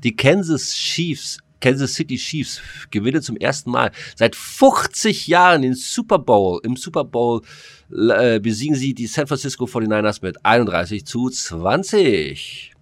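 A man with a German accent bantering casually as a podcast host, in a halting, rambling way that often stumbles. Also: medium pitch, lively intonation. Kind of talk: casual banter between friends.

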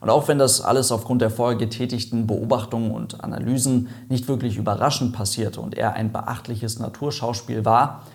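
A man's voice: medium pitch, gravelly, a little mellow. Chatty man hosting an aviation explainer. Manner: chatty, lightly joking. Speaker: aviation video host. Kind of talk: casual explainer narration.

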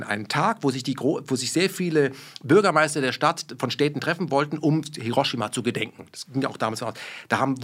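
A man's voice: mid-range voice, a bit bright. A man from Germany, talking in a heated conversation with emphatic rhetorical questions and blunt swearing.

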